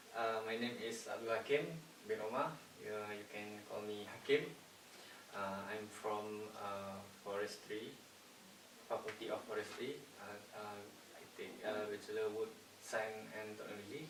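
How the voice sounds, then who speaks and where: Young man, Malaysian accent, lowish voice, hesitant with fillers, clear hiss, roomy and distant. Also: fairly even intonation, measured pace. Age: young adult. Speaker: wood science student. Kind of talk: casual group discussion.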